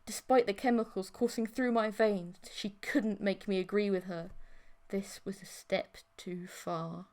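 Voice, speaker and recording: A woman's voice: mid-range voice, steady tone, a little slow, a little mellow. A woman reading scripted first-person fiction as an audiobook narrator.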